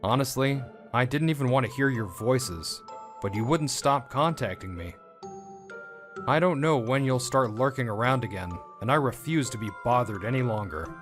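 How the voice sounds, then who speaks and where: A mid-pitched young man's voice from an actor tells his own story as a comic dub lead. He sounds wounded and bitter, in scripted narration with music clearly playing underneath. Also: lively intonation, moderate pace, lightly acted. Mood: cold, bitter.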